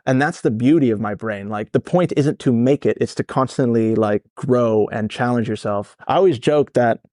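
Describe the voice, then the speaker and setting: A Dutch-born man in his thirties talks with an American accent, rambling and energetic. His voice sits at a middling pitch and is recorded dry, close to the mic. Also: moderate pace, dry recording. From Netherlands, raised in California. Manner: energetic, rambling, swears casually. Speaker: guest, startup founder. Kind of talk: casual podcast interview.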